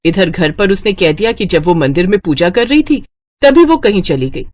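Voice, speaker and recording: Animated woman storyteller. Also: medium pitch, normal speed. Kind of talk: scripted cartoon story narration.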